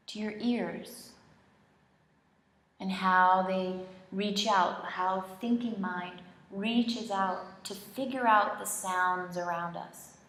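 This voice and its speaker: A woman leading a guided meditation in an indoor studio, speaking in gentle, unhurried phrases with long pauses. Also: lowish voice. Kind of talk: slow guided relaxation meditation, soothing instruction. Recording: roomy, echoing studio sound.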